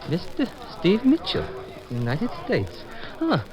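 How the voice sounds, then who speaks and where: A proud, obsequious hotel clerk in a vintage radio drama. His high voice swoops dramatically, eager to please and very formal, with faint music behind. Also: huge pitch swings, slow pace, creaky, a little mellow, exaggerated voice, rough recording. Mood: proud, fawning. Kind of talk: 1950s radio drama acting.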